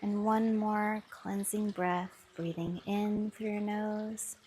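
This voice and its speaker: A woman leads a guided meditation in a soft, soothing voice. She speaks very slowly, and her pitch sits in an ordinary middle range. A faint hum of background noise runs underneath. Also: steady tone, low-quality recording. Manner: slow, soft, soothing instructions.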